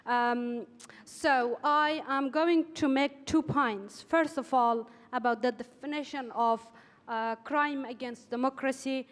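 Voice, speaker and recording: Afghan woman pausing often, hall echo. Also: high-pitched, fairly even intonation, fairly bright tone. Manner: careful, many pauses and "um" fillers. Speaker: journalist and panelist. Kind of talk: panel discussion remarks. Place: large conference hall. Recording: long hall reverb.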